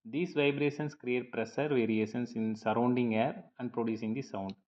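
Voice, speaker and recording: A man reading a scripted lecture over slides in a measured, slightly halting, explanatory way. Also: medium pitch, steady tone, darkish tone, lo-fi sound.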